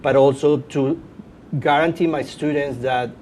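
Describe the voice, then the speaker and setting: A man's voice: steady tone, a little slow, a little mellow. A middle-aged man with a Venezuelan accent, a native Spanish speaker, answering as a guest in a conversational interview with informal asides. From Caracas, Venezuela. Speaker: guest, professor and podcast host. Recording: clear background noise with low rumble.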